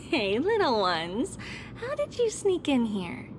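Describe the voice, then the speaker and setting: A woman playing a gentle, kindly, reserved young maiden in a video game. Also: sits high, huge pitch swings, slow pace, background noise, full character voice. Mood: gentle, amused. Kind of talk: game voice acting. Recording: music behind the voice.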